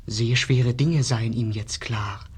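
A man's voice: mid-range voice, steady tone, slow, slightly rough. An actor playing an agitated young man bringing news from a sickroom, declaiming solemnly in a 1950s radio verse drama. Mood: anxious awe.

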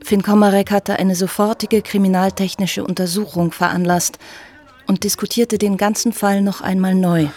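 An actress playing a brisk, commanding chief police inspector in a crime radio drama, matter-of-fact and decisive. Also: female voice, medium pitch, flat delivery, darkish tone, lightly acted.

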